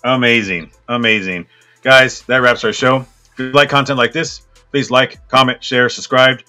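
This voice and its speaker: Podcast host, man on a headset, laid-back and flat delivery, bright tone. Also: mid-range voice, normal speed, soft background music. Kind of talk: casual interview hosting. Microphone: headset on video call.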